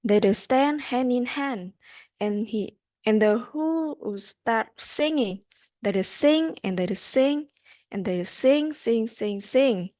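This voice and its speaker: A young adult woman, a student, reading a rhyming storybook aloud haltingly, repeating words as she goes. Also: on the high side, animated, dark tone, no echo, clean studio sound.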